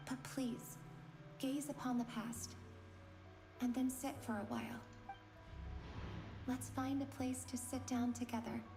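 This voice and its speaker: An actress playing a gentle, sorrowful young goddess, speaking softly and solemnly in a video game. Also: female voice, fairly high pitch, roomy sound, close to an everyday voice. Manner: soft, solemn, wistful.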